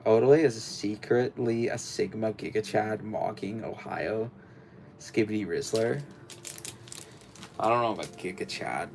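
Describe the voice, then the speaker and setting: A young man with a fairly low voice and a strong gravelly fry talks to his livestream audience. He rambles, trails off and restarts often, filling gaps with ums and likes. A faint background noise sits under his voice. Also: measured pace, darkish tone. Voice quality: deep, gravelly voice. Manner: rambling, hesitant, many fillers and restarts. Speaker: livestream host. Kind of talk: casual livestream chat while building.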